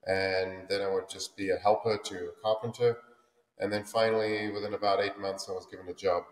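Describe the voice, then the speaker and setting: South African man, low voice, roomy video call. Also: little pitch movement, a little fast. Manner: rambling, many restarts and fillers. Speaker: guest, luxury home builder. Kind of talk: casual interview storytelling. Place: home office, indoors. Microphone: video call webcam. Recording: roomy echo, far from mic.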